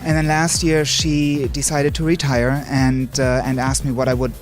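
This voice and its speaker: A man from Germany who owns an art gallery, friendly and rambling in a casual interview, often pausing with "you know". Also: mid-range voice, completely flat delivery, soft background music. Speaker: gallery owner.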